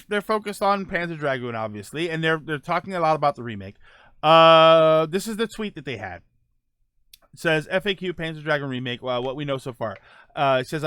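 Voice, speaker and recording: A man's voice: a little high, pushed and bright, clean tone, dry recording, studio quality. A man hosting a casual podcast, reading viewer topics and tweets aloud with many ums and restarts. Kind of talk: casual solo podcast chat.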